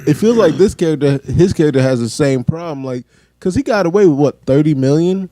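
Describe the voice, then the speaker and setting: A man's voice: fairly high pitch, lively intonation, fairly quick, mellow, no echo. A man co-hosting a film review podcast, talking casually and analytically, with frequent restarts. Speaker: podcast co-host, film critic. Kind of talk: casual movie review chat.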